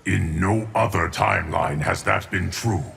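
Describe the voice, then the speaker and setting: An actor playing a grave, all-knowing guardian of history in a fighting game, formal and measured. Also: male voice, low voice, gravelly, a bit bright, slight background noise, full character voice. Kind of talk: fighting game character dialogue.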